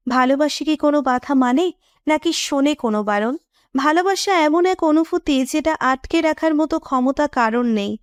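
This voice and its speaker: A woman's voice: high-pitched, no room sound, studio quality. A woman narrator reading a scripted romantic story.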